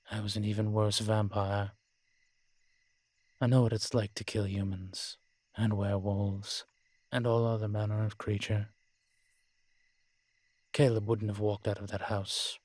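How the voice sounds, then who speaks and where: A man playing a world-weary, morose male vampire in a roleplay audio drama, speaking in a measured, formal, brooding manner with sighs and pauses. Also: on the low side, little pitch movement, a little mellow, no room sound. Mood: grim, resigned. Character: weary old male vampire, morose realist, formerly cruel.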